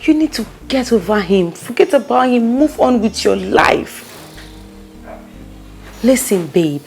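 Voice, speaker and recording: A woman's voice: fairly high pitch, animated, measured pace, vocal fry, darkish tone, soft background music. A woman with a Nigerian accent playing a pushy, caring friend who coaxes and pleads with emphasis. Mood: coaxing. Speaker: consoling friend.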